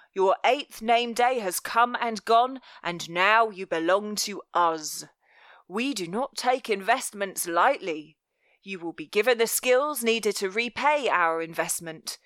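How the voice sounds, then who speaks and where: A menacing master of a thieves' guild, acted out by a woman reading an audiobook, speaks slowly and with total command. He is cruel and deliberate, coating threats in mock tenderness. The sound is dry, with no room around it. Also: mid-range voice, fairly bright tone, no echo. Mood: silky threat. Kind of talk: dramatic audiobook character voice.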